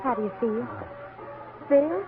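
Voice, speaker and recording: A woman's voice: high voice, animated. An actress playing a solemn, tender woman at a deathbed in a 1950s radio drama. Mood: anxious, tender. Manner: slow, solemn recitation. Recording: muffled old radio recording, music behind.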